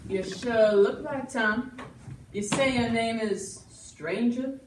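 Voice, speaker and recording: A woman's voice: on the high side, slow pace. A woman acting a chatty, folksy, matter-of-fact frontier shopkeeper in an amateur stage play. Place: indoor school stage. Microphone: distant audience camera. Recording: echoey noisy hall from far off.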